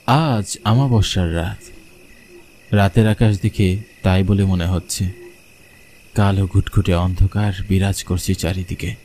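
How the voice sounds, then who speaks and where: A man's voice: deep voice, steady tone, measured pace, long pauses, mellow, a little hiss or hum. A man narrating a scripted horror story in the first person, as a storyteller.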